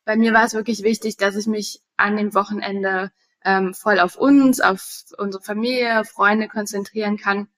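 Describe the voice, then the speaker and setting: Woman from Berlin, 30s, mid-pitched, relaxed guest with lots of fillers. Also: normal speed. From Berlin, Germany. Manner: relaxed, with many fillers and light laughs. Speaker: guest, wedding agency owner. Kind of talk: podcast interview.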